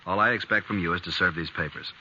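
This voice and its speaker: An actor playing a cold, haughty rich moneylender in a 1950s radio western, with clipped formal speech. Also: male voice, sits low, fast, sharp tone. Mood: cold, stern. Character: cold, hard-hearted rich male moneylender. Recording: muffled, hissy 1950s radio recording.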